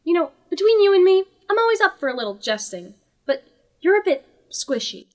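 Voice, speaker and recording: A woman's voice: very high-pitched, sing-song, brisk. A woman playing a bored, sardonic god who hosts a talk show in a comedic audio drama, with a sarcastic, theatrical, teasing delivery. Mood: wary needling.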